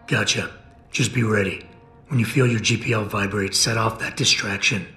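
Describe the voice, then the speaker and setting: An American actor playing a stoic, gravel-voiced cyborg security operative in a video game, terse and deadpan. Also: male voice, lowish voice, normal speed. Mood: businesslike. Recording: roomy game audio with music.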